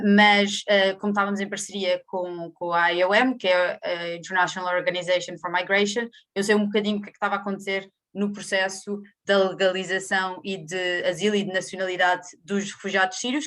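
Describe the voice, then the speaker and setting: A woman's voice: medium pitch, level tone, a little fast, a bit bright. A young woman with a European Portuguese accent, speaking as a volunteer guest in an online panel, fluent and slipping in English terms. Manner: fluent, mixes in English terms, occasional laughs. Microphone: video call.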